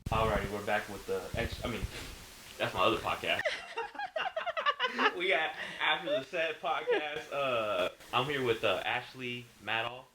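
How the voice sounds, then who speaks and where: A man's voice: medium pitch, lively intonation, measured pace, background noise. A man in his twenties hosting a podcast, chatty in a casual interview and backing guests with plenty of "yeah". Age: 20s. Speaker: podcast host.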